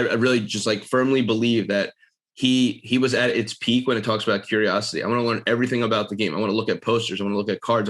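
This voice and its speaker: A man from Philadelphia hosting a podcast, talking in an earnest, animated way and often restarting his phrases. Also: fairly low pitch. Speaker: podcast host. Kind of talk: casual conversation.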